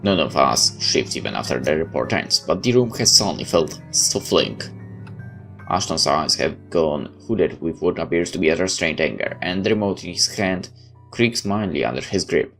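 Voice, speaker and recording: A man's voice: sits low, expressive, normal speed, vocal fry, fairly bright tone. A man doing a let's play, reading a game's story text aloud with casual asides. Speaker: game streamer reading aloud. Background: game music.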